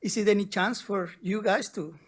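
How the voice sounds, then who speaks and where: Man asking a long question. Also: high-pitched. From Mexico. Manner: deliberate, searching for words, tells a story to make his point. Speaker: audience member asking a question. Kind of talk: seminar Q&A question.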